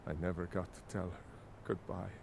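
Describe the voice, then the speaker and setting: An actor playing a grieving, disbelieving village husband in a fantasy video game, speaking in a halting, dramatic way. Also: male voice, low-pitched, flat delivery, creaky, darkish tone, a little hiss or hum. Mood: mournful.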